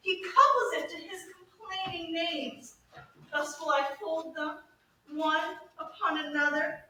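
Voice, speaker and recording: A woman playing an impulsive, haughty, lovesick young gentlewoman in a theatrical Shakespearean staged reading, exclaiming and swinging between scolding and doting. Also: very high voice, unhurried, full character voice. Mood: lovesick, frantic. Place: echoey room at a live staged reading. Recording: echoey room, distant mic, faint noise.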